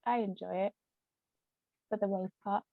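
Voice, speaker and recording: A woman's voice: medium pitch, fairly even intonation, a little slow, creaky, dry recording. A woman author and ghostwriter chatting casually as an interview guest on a video call. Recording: thin phone-like call audio, dry.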